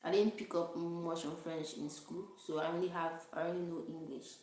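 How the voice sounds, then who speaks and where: Young Nigerian woman, low-ish voice, rambling self-deprecating livestream chat, very echoey room. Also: little pitch movement, moderate pace, darkish tone, slight background noise. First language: Igbo. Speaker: vlogger.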